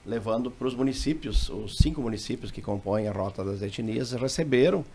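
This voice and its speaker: A man's voice: mid-range voice, brisk, dark tone, slight background noise. A man from southern Brazil, a member of a local association, talking on a radio program and listing places and facts. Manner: talkative, lists places and facts. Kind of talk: radio interview. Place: radio studio.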